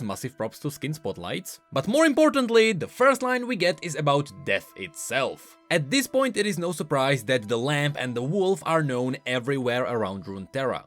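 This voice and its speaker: A man's voice: fairly high pitch, a bit bright. A man giving scripted commentary on video game lore and voice lines in an emphatic, explanatory way.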